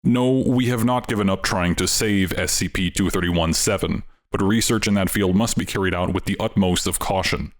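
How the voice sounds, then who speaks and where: Low-voiced guy reading out a formal letter, slow and serious.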